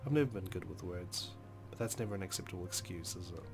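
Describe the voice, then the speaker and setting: A man with a fairly low voice narrates a visual novel in the first person. His reading is expressive and deliberate, with dramatic phrasing. Faint music plays behind him. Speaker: let's play host reading game narration.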